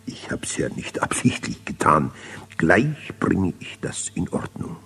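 An older man voicing an apologetic, gentle mole in a children's story, muttering softly. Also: sits low, sing-song, unhurried, creaky, husky, exaggerated voice. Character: apologetic mumbling old mole, shuffling and gentle. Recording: reverberant old cassette transfer.

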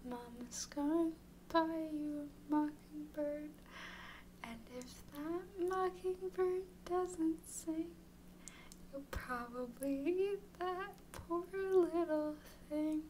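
A woman playing a tall, clingy, playfully teasing young woman smitten with her friend, in an intimate, softly whispered ASMR roleplay. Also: sits high, slow, rough recording. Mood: playful singsong. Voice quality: soft, breathy whispering. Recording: faint background noise.

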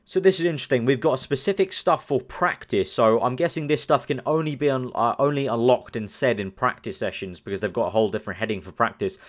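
A young man from the UK, chatting casually as a gaming channel host, rambling and thinking aloud with lots of fillers. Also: mid-range voice. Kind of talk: casual commentary over screen.

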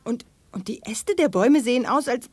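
An actress playing an anxious but spirited young space adventurer in a sci-fi radio play, with dramatic delivery. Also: female voice, on the high side, expressive, normal speed, no echo. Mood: uneasy. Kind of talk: German 1980s sci-fi radio play acting.

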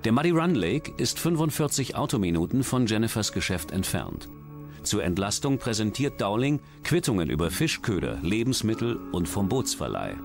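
A man's voice: lowish voice, very animated, normal speed, gravelly, over music. A man reading scripted true-crime narration as a documentary narrator.

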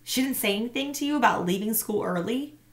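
Worried, stern female principal, as a woman reading a storybook puts her on, slight gravel. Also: on the high side, expressive, fast, voice pushed up.

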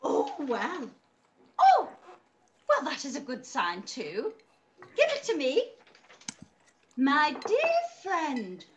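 Fussy scheming mum in a radio play, high swoopy voice, noisy video call. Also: female voice, huge pitch swings, slow pace, pauses often, lo-fi sound, heavily acted. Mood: excited, gleeful. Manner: theatrical, exclamatory. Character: fussy, scheming, excitable middle-aged mother. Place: room at home, on a video call.

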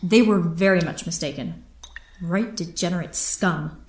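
A woman's voice: lowish voice, measured pace, a little hiss or hum, lightly acted. An actress playing a proud, bitter female captain held prisoner, with theatrical, formal phrasing in a radio drama. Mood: bitter, resolute. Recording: roomy, echoing sound.

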